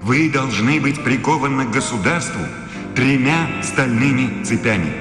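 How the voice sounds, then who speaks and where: An actor plays an authoritarian university rector in a radio drama. He declaims sternly and formally in a mid-pitched male voice, with long hall reverb and music clearly underneath. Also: expressive, normal speed, lightly acted. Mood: fervent, solemn. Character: stern, authoritarian German philosophy professor and rector. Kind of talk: radio play acting.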